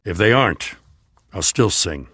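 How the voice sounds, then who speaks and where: Weary American cop, lieutenant rank, low register, blunt honest delivery, game drama, dry studio sound. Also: male voice, lively intonation, a little slow, a bit bright, breathy edge, dry recording, full character voice. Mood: weary, earnest. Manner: plain-spoken, earnest, colloquial. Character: weary, principled male police lieutenant, a father. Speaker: voice actor. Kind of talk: video game dramatic dialogue.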